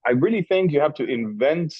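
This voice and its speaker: A man from Switzerland, a guest at a lunch interview, telling a long story in a relaxed, rambling way with many restarts. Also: a little high, a little fast, breathy edge, no echo, muffled recording. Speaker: interview guest, cigar maker. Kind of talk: casual lunch chat.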